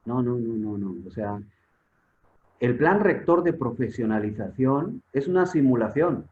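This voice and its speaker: A man's voice: mid-range voice, expressive, measured pace. Spain-born guy lecturing over a video call, sounds thin like a phone line, keeps asking himself questions and stumbling on repeats. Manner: emphatic, repeats words while searching, rhetorical questions. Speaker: guest expert on public security. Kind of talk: conversational lecture in an online forum. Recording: tinny phone-like online call audio.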